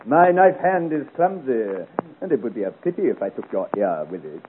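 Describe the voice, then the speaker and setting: An actor playing a mocking, swaggering captain of cutthroats with florid, sneering speech, in a 1950 radio serial. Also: male voice, medium pitch, big pitch swings, normal speed, natural voice. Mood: gloating, mocking. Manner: florid, sneering, theatrical speech with mock gallantry. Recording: tinny, hissy old 1950 radio recording.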